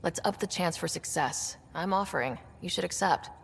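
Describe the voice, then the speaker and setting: A woman's voice: fairly low pitch, normal speed, gravelly, long reverb tail, noisy background. An actress playing a confident, direct young female space explorer in a video game, frank and plainspoken. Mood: assured, firm. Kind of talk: video game cutscene acting.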